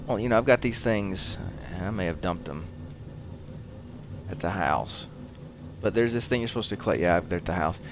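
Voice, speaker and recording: Low-pitched man rambling through gameplay, noisy background. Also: animated. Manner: rambling, halting, talks while playing. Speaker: host of a gaming show. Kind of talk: casual video game playthrough commentary.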